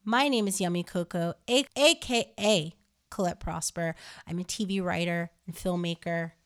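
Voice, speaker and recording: A woman's voice: on the low side, normal speed. A woman from New Jersey hosting her podcast, chatting casually with lots of ums and uhs. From New Jersey, USA. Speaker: podcast host.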